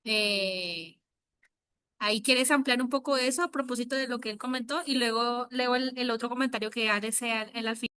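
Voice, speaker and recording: A woman moderating an online workshop over a video call, in a friendly and relaxed way. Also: on the high side, moderate pace, clean tone, no room sound, clean studio sound.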